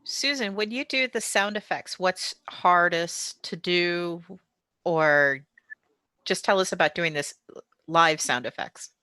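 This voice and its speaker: A woman's voice: fairly low pitch, slow, bright, forceful tone, dry recording. A woman hosting a casual panel Q&A, asking questions and responding conversationally. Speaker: host, Q&A moderator.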